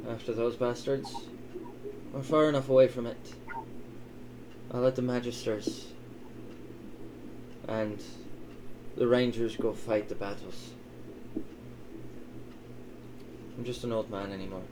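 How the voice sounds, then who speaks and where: A gruff, wry old elven dad played by a man in a tabletop roleplay, doting and foul-mouthed. He speaks at a middling pitch over clear background noise. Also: fairly even intonation, a little slow, long pauses, close to an everyday voice. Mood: irked, stubborn. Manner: wry, teasing, swearing. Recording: clearly noisy, ambient sound behind.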